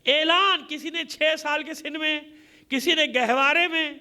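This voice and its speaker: A man's voice: very high-pitched, measured pace, sharp tone. A man preaching an impassioned majlis sermon in a combative, declamatory way, using rhetorical questions and emphatic repetition. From Pakistan.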